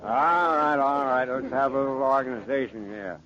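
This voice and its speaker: A man's voice: mid-range voice, moderate pace, crowd noise, lightly acted. An actor playing a brusque policeman who curtly gives orders, in 1940s radio style. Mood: bossy. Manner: curt, barking orders. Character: bossy, brusque policeman taking charge. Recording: muffled, noisy 1940s radio recording.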